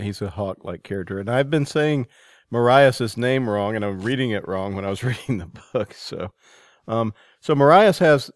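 Low, dry-sounding male interviewer. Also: no echo. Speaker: interviewer, podcast host. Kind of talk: conversational book interview.

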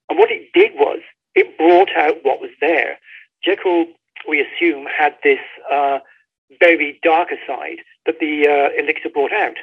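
A man's voice: a little high, slightly rough. A man, a guest author explaining a story in emphatic, conversational storytelling over a phone call. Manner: emphatic storytelling, acts out quoted dialogue. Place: remote call. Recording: tinny phone line.

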